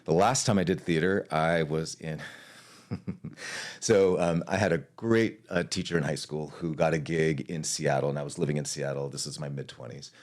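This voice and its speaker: A man's voice: extremely low pitch, moderate pace, a little mellow. A man from Hawaii, an arts leader, talking through his career in a casual interview.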